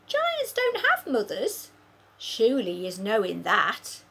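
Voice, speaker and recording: A woman's voice: on the high side, huge pitch swings, slow, gravelly, sharp tone, full character voice. A woman voicing a kindly, very old male giant who mangles his grammar and invents words. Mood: sad.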